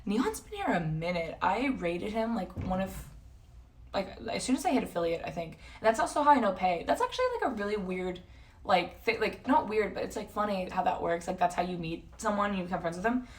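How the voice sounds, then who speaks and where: A woman's voice: mid-range voice, expressive, fairly quick, far from the mic. A woman in her early twenties with a North American accent, chatting casually to livestream viewers in a rambling, slangy, self-interrupting way. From San Jose, California and Toronto, Canada. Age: early 20s.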